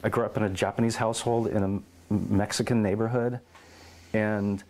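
A middle-aged man in an oral history interview at his home, telling lively stories and acting out quotes. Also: on the low side, a little fast. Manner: animated storyteller, acts out quotes and meows.

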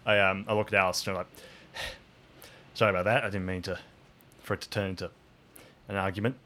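A man thinks aloud while playing his character, pausing and restarting his sentences. His voice is low but bright and forceful. He sounds unsure as he works through his thoughts. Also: fairly even intonation. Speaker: player. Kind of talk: improvised audio drama play.

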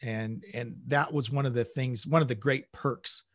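A middle-aged man talking conversationally as an interview guest, in halting bursts with repeated words. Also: medium pitch, steady tone, dry recording. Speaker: interview guest, manager at a global company.

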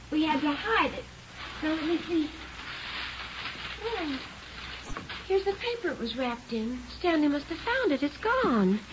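An actress playing a worried but composed mother in her forties in an old radio drama, on a dull, hissy recording. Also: high-pitched. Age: 40s. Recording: dull vintage radio recording with faint hiss.

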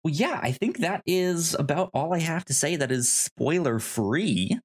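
A man co-hosting a podcast in a casual movie discussion, speaking haltingly and stammering over repeated false starts. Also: a little high, animated, breathy edge. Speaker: podcast co-host.

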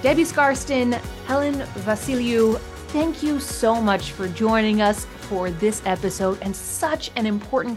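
A woman hosts a podcast in a clear, measured and earnest way. Her voice sits at a middle pitch, and soft music plays faintly behind her. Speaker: podcast host. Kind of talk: scripted intro then interview.

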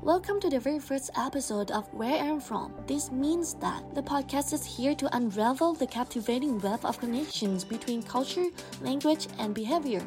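Bubbly higher-voiced woman podcast host. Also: lively intonation, over music. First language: Thai. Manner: bubbly, quick to react with little exclamations. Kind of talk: upbeat podcast hosting.

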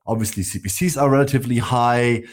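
A man's voice: mid-range voice, fast talker. A man hosting a podcast interview, friendly and filler-laden as he asks his guest follow-up questions. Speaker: podcast host.

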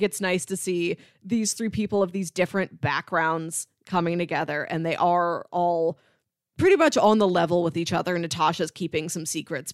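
A woman's voice: fairly low pitch, no echo, clean studio sound. A woman co-hosting a podcast, talking casually about films and sometimes chuckling through her words. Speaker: podcast co-host debating films. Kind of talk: casual film discussion.

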